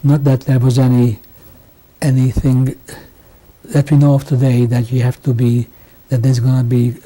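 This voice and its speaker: A man in his sixties from Hungary, giving oral history testimony in a halting way, with many restarts and pauses. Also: mid-range voice, level tone, warm tone, poor audio. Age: 60s. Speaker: interviewee. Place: home interview.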